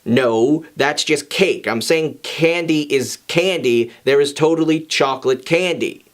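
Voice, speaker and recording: A laid-back teenage schoolboy, read out by a man, with a slightly high male voice. He is casual yet emphatic, scoffing his way through an argument in a story. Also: measured pace, close to an everyday voice.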